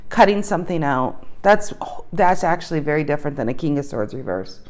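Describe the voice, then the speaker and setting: A woman's voice: sits low, lively intonation, brisk, darkish tone. A woman giving a casual tarot reading to her viewers, thinking aloud and repeating her questions as she pulls cards, her voice slightly worn. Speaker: tarot reader.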